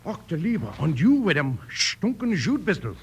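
A man's voice: on the high side, big pitch swings, moderate pace. A man playing a flustered comic German gunsmith, sputtering mangled English with a thick German accent in a radio western. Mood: indignant, flustered. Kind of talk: old-time radio comic western acting. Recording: faintly noisy old radio recording.

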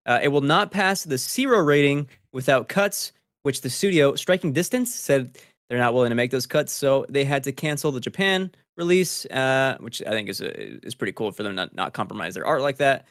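A man's voice: medium pitch, animated, brisk, fairly bright tone, no room sound. Casual male gaming podcast host, dry sound. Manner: informal, slangy, conversational. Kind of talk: casual news chat among co-hosts.

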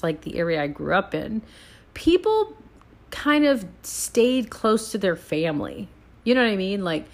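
Chatty Texan grandmother hosting a podcast. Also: mid-range voice. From San Antonio, Texas. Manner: chatty and animated, says "like" and "okay?" a lot. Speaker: podcast host. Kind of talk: casual solo podcast chat.

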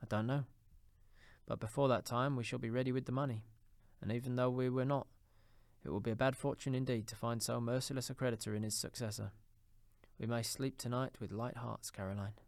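A man voicing a gentle, earnest young husband in a storybook reading, measured and relieved. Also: mid-range voice, steady tone, creaky, no room sound, clean studio sound, natural voice. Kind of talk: audiobook character voice.

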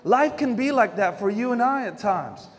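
A man preaching, his voice sitting notably high. He talks to the congregation in a loose, chatty way, full of everyday stories and stumbling restarts. The sound carries a long reverb, as in a large church hall. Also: lively intonation, normal speed. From Philippines. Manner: conversational preaching with homely stories and frequent restarts. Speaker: pastor. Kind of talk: sermon.

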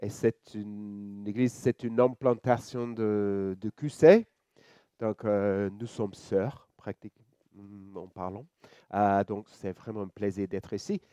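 Slow, mellow Australian man preaching. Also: fairly low pitch, clean tone, dry recording, clean studio sound. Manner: relaxed, joking, halting with many fillers and restarts. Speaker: church elder preaching. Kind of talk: sermon.

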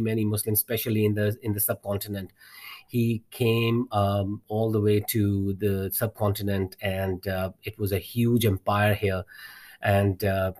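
A man's voice: lowish voice, darkish tone. Earnest man, native Urdu speaker. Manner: earnest, encouraging, repeats words while searching. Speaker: math teacher, guest. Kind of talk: interview answers, telling a personal story.

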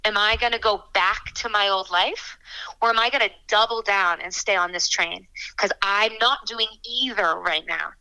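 Bright, smooth-voiced young woman singer. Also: mid-range voice, low-quality recording. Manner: chatty, frank, quick asides with frequent fillers. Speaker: singer-songwriter guest. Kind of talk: casual interview answers.

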